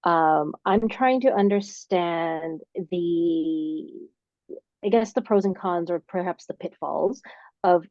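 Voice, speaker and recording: Low-voiced hesitant woman, workshop participant, muffled video call. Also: takes it slowly, no room sound. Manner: hesitant, many fillers and restarts. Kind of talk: asking a question in an online workshop. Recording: dull lo-fi video-call audio.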